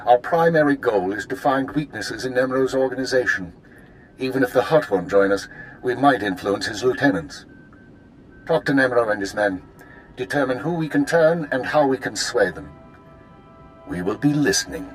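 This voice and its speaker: A man's voice: medium pitch, lively intonation, moderate pace, soft background music, lightly acted, poor audio. Man playing formal, commanding intelligence handler. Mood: cool, commanding. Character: cool, formal male imperial intelligence handler. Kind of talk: video game voice acting.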